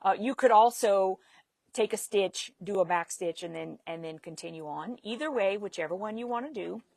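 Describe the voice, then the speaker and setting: A woman's voice: medium pitch, moderate pace, vocal fry, a little mellow, no room sound. A woman leading a live sewing demonstration, chatting and laughing while she works. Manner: chatty, laughs easily, talks while working. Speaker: sewing instructor.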